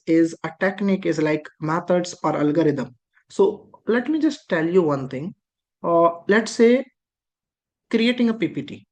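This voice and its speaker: Instructor, patient and repetitive, mid pitch. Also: a little mellow. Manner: patient, repetitive explaining with frequent rhetorical questions. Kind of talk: explaining a lesson.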